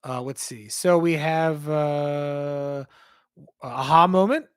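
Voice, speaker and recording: Male livestream host, higher voice, hoarse from losing his voice, rambling answers to chat questions. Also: slow. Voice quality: strained, hoarse. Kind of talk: casual livestream Q&A.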